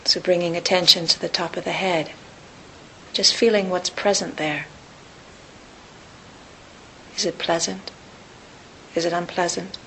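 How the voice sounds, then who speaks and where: A woman teaching a guided meditation in a calm, unhurried, gentle manner with long pauses. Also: on the low side, poor audio. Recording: hissy recording with clear background noise.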